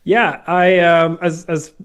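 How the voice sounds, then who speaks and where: A man's voice: on the high side, flat delivery, slow, a bit bright, clean tone. A middle-aged man from Venezuela, a guest in a news interview, talking earnestly with many fillers and restarts. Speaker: guest, crypto company co-founder. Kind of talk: casual interview talk.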